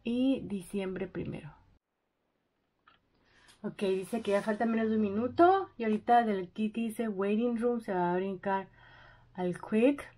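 Excited, chatty young woman vlogging. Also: medium pitch, measured pace, long pauses. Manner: excited, chatty, thinking aloud. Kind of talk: casual vlog narration.

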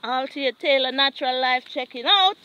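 A Jamaican woman vlogging on a farm, chatting in a lively, exclaiming way while filming on a handheld phone outdoors. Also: high-pitched, normal speed, highs cut off. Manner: lively, exclaiming, repeats catchphrases. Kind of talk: casual farm tour chat. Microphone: handheld phone camera.

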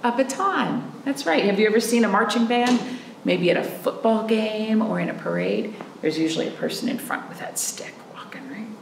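A woman in her thirties, a children's librarian, reading a picture book aloud in an animated, playful way and asking the children questions. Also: medium pitch, normal speed, gravelly, echoey room, a little hiss or hum. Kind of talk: storytime read-aloud for children. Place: library room in front of a painted wall.

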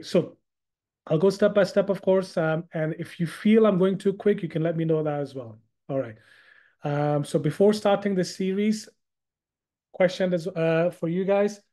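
A man's voice: on the high side, dark tone. Chatty man teaching a live online class. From Hong Kong. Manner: chatty, repeats words, asks the audience questions. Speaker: Islamic studies teacher.

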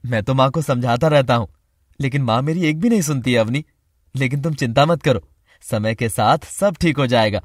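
Man voicing a young husband, playful, reassuring, medium pitch, dry close sound. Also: moderate pace, dry recording, lightly acted. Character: affectionate young husband, easygoing son.

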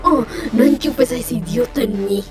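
A woman voicing a cold, sarcastic female supercomputer in a Spanish fan dub of a video game, measured and vindictive. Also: a little high, natural voice. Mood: stern. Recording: music behind the voice.

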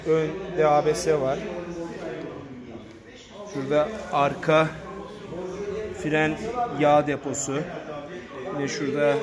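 A man filming a walkaround review in a showroom, pointing out parts in a plain, matter-of-fact way. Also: medium pitch. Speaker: motorcycle reviewer. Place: indoor motorcycle showroom. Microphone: handheld phone camera.

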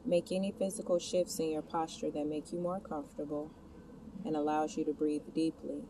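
A woman leading a scripted guided meditation, giving the listener soft step-by-step instructions and questions. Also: level tone, moderate pace. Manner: instructional, addressing the listener with gentle imperatives and questions. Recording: distant mic, clear background noise, ambient rumble.

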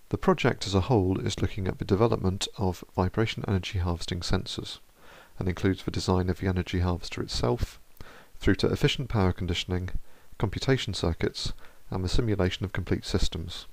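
A man with a low voice and a touch of fry narrates in a steady, measured manner, like a researcher explaining his project. The recording is dry and close, with no room sound around him. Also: no room sound. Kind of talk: scripted narration.

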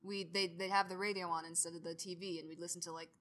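Bright-voiced young woman presenting informally. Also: fairly low pitch, little pitch movement, fast talker. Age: early 20s. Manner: self-deprecating, rambling. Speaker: student audio drama creator giving a presentation.

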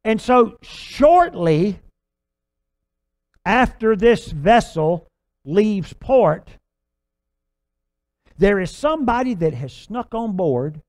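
An older man preaching a sermon, telling a long illustration story on a church stage with lively gestures. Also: high voice, very slow, pauses often. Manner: animated, gesturing storyteller with filler restarts. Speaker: pastor. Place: large church stage with purple lights.

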